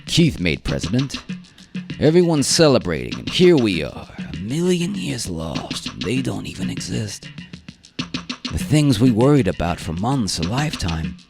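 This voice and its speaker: A man's voice: fairly low pitch, wildly swooping pitch, a little slow, creaky, full character voice. Guy doing a jittery awestruck hunter man for a radio play, stammery, voice jumps around. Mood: uneasy wonder. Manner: hesitant, stammering. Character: nervous, awestruck male big-game hunter on safari. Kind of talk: radio drama acting. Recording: live performance with music bed.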